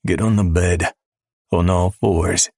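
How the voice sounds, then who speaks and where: A woman narrator voicing a rough, possessive male lover with a low, husky rasp and a slow, commanding murmur. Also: fairly even intonation, mellow, no echo, clean studio sound, full character voice.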